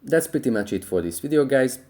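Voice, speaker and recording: A man's voice: mid-range voice, lively intonation, fairly quick, warm tone. A man hosting a how-to tutorial, narrating app instructions step by step. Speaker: tutorial host.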